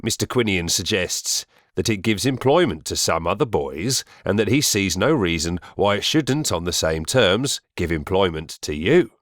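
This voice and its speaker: Man playing deep voice, stern middle-aged stepfather, domineering, pompous, deliberate, close dry sound, classic-novel audio drama. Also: lively intonation, fairly bright tone, no room sound. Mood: cold, brisk.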